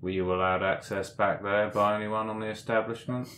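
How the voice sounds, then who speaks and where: Man acting a low, smug, corrupt businessman. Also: level tone, fairly bright tone, reverberant, a little hiss or hum, close to an everyday voice. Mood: pressing, threatening. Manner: slow, musing, self-amused. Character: smug, corrupt businessman, needling and self-satisfied.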